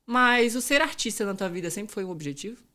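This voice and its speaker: A woman's voice: mid-range voice, lively intonation, brisk, sharp tone. A woman hosting a podcast interview in a casual, upbeat, chatty way. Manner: upbeat, chatty, uses informal "tu". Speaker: podcast host.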